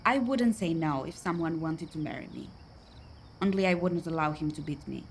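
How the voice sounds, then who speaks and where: An actress playing a worldly, down-on-her-luck young woman in a radio play. Her voice sits a little low, confiding and folksy, resigned but friendly, with faint noise behind it. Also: normal speed. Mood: breezy, coaxing.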